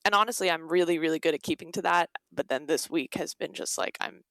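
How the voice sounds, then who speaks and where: Young woman with a creaky, conversational voice. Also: deep voice, quick pace, a bit bright, no echo. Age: young adult. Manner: informal, peppered with "like" and quoted asides. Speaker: guest, author. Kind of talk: casual interview conversation.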